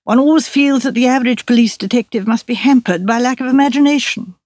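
A woman's voice: a little high, fast talker, darkish tone, no room sound, voice pushed up, lightly acted. A debonair young man of letters read by a woman talks with earnest self-importance and a patronising air. It is an audiobook character in a dry, close recording. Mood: amused, superior.

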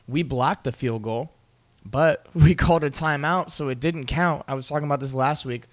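A young man co-hosting a casual sports podcast, telling game stories in an animated way and laughing often. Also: on the high side, normal speed. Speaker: podcast co-host.

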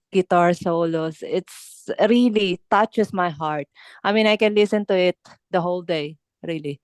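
Young Filipino woman, interview guest; slow, clean voice, hesitant phrasing. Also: fairly low pitch, darkish tone, dry recording. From Philippines. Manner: halting, searching for words, many fillers and restarts. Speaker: band singer being interviewed. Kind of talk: casual interview chat.

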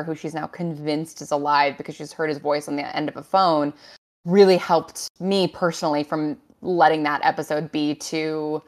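A woman explaining her work as a writer on a panel, casual and thoughtful, halting as she thinks. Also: low voice.

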